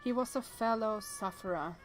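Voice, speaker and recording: A woman's voice: mid-range voice, moderate pace, soft background music, poor audio. A woman reading visual novel text aloud and adding chatty reactions as she plays. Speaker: let's-play streamer.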